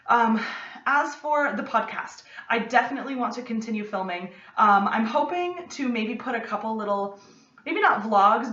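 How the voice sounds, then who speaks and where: Young american woman chatting to cam, super excitable, wanders off topic, echoey room, bit muffled. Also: mid-range voice. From United States. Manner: excitable, gestures a lot, rambling asides. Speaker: podcast host. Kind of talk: casual chat to camera. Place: home study with bookshelves. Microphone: camera at desk distance. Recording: roomy, slightly distant, dull lo-fi.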